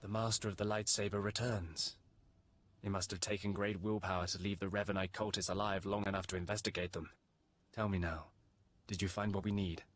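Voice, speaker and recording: A fairly deep-voiced male Sith schemer, eager and obsessive. He is theatrical and insistent in the style of game drama. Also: level tone, a little fast. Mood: eager, scheming. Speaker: game character, Sith conspirator. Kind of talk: dramatic video game voice acting.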